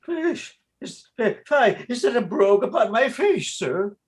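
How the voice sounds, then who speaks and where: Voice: medium pitch, big pitch swings, measured pace. A performer voicing a flustered Irish priest who pretends to be Flemish, with a thick Irish brogue and a theatrical, wheedling delivery. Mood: blustering. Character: Irish priest posing as a Flemish chaplain, male. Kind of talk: stage-play reading.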